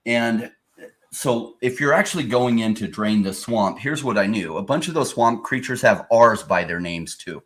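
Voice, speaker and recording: A man's voice: fairly low pitch, animated, moderate pace, fairly bright tone. A man on a casual podcast panel who talks emphatically and lays out long, opinionated arguments.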